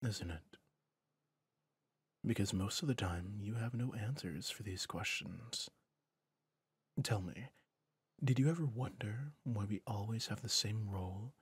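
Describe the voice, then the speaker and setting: A man playing a weary, reflective villain in an ASMR roleplay, speaking softly and gently with deliberate pauses, breathy and often near a whisper. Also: fairly low pitch, dry recording. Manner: slow, soft, pensive, with deliberate pauses. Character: weary male villain, reflective, gentle toward his rival hero. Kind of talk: ASMR roleplay audio drama.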